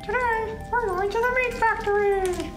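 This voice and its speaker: A tiny, squeaky sock puppet hosts a twisted kids' show with perky, condescending cruelty. The voice is extremely high and echoey, with loud music behind it. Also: normal speed. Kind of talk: children's puppet show parody.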